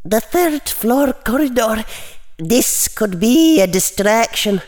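A man voicing a stern, authoritative senior witch who runs a school in a fantasy audiobook, speaking with deliberate urgency. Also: very high voice, lively intonation, a little mellow, no room sound, studio quality, voice pushed up, heavily acted. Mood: wary, thinking. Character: stern, commanding senior witch, a deputy headmistress.

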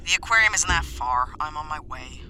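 A woman's voice: low-pitched, lively intonation, fairly quick, sharp tone, slight background noise, heavily acted. An actress playing an exasperated, no-nonsense woman captain with a sarcastic, dry way of talking in a sci-fi audio drama.

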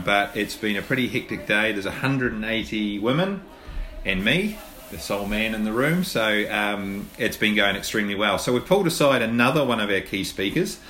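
A man's voice: on the low side, sharp tone. A man with a New Zealand accent hosting a podcast interview, chatty and affirming, with frequent "yeps". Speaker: podcast host. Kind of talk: casual interview. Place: lunch event venue. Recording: roomy, with faint room noise.

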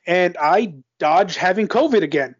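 Male guest co-host, high voice, post-cold, joking rambler, dry lo-fi audio. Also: animated, moderate pace, breathy edge, no echo. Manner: rambling, joking, many fillers. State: recovering from a cold. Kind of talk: casual chat.

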